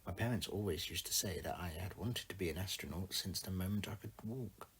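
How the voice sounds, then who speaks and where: A man with a husky voice reading his own first-person short story aloud in a single take. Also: sits low, brisk, fairly bright tone.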